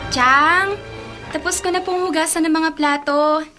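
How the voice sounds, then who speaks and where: A woman's voice: high voice, steady tone, measured pace, music in the background. An actress playing a polite, obedient schoolgirl in a Filipino radio drama, speaking deferentially. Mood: meek polite. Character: polite, obedient young schoolgirl, a foster child.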